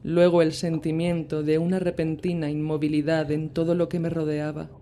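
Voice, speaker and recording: A woman giving a slow, measured dramatic reading of a horror tale, with long pauses between phrases. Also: deep voice, flat delivery, mellow, a little hiss or hum. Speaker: reader.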